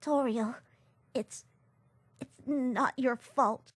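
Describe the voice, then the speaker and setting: A woman's voice: high voice, fairly even intonation, extremely slow, no room sound, exaggerated voice. A woman voicing a nervous, stuttering female lizard scientist in a comic dub. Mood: timid. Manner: stuttering, anxious. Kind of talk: fan comic dub acting.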